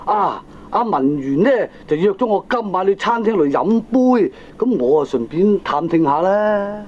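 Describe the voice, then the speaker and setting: A man playing an excitable, talkative middle-aged father in a Hong Kong Cantonese comic radio drama, earnest and emphatic. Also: sits high, a little slow. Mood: insistent, earnest. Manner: emphatic, chatty, exaggerated delivery. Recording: dull lo-fi cassette recording.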